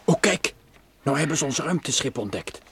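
A man's voice: medium pitch, animated, moderate pace, vocal fry, a little hiss or hum. Een man die in een ouderwets hoorspel voor kinderen een angstige, snel geërgerde ruimtereiziger speelt. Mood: fearful. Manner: anxious, exasperated, exclamatory. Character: fearful, grumbling space traveller, prone to alarm. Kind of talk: old-fashioned children's radio play acting. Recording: old radio play recording.

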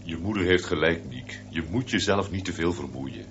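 A man's voice: low voice, expressive, moderate pace, a bit bright, lo-fi sound, a little hiss or hum. An actor in a Dutch radio drama playing a mild middle-aged father who reasons protectively and reassures. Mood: placating. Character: mild, placating, protective father of a teenage boy.

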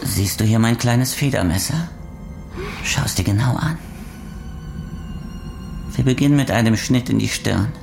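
An actor playing a coldly menacing criminal interrogator in a crime radio drama, mock-courteous, taunting and deliberate. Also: male voice, medium pitch, gravelly, over music. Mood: sadistic, menacing.

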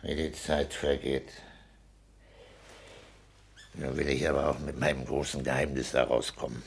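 An actor playing a smug, domineering petty official and landlord in a 1960s German radio play, matter-of-fact and pleased with himself. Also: male voice, a bass voice, little pitch movement, unhurried, vocal fry, exaggerated voice. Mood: self-satisfied. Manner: matter-of-fact, self-satisfied.

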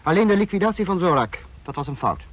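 An actor playing a calculating dictator in a Dutch radio drama, speaking in a measured, authoritative way. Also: male voice, high voice, sing-song. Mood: stern, critical. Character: calculating male dictator, the Protector of a fascist state. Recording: dull vintage radio recording.

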